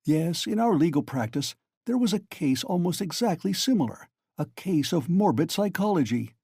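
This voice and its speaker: Guy doing a sly old-fashioned magistrate, wheedling and insinuating, mellow tone, dry room. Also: on the high side, moderate pace, breathy edge, no echo, voice pushed up. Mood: confiding. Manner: wheedling, insinuating, lively questioning. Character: sly, genial middle-aged male magistrate.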